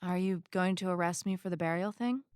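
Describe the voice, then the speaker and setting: A woman's voice: lowish voice, fairly quick, a little mellow, clean tone, no echo, clean studio sound, natural voice. A woman playing a guarded, principled and blunt activist in a detective audio drama. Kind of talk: naturalistic audio drama acting.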